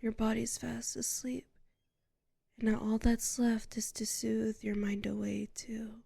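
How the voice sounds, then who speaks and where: A soothing female librarian hypnotist played by a woman murmurs a sleep ASMR roleplay right up at the mic. Her soft, breathy half-whisper is very slow and heavily creaky, in a dry space with no echo. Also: on the high side, monotone, heavily acted. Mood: soothing, drowsy. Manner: slow, soothing, hushed, lingering pauses. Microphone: close microphone.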